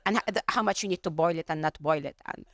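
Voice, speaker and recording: A woman's voice: sits low, quick pace, no echo, studio quality. A woman from Lebanon in the audience, sharing a chatty observation and listing examples with light humor. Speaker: workshop participant. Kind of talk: audience comment at a workshop.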